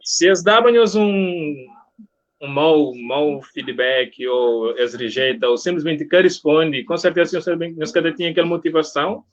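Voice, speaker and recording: A man's voice: a little high, moderate pace. A man with a Cape Verdean accent conducting a casual interview in an encouraging, conversational way. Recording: dull lo-fi audio.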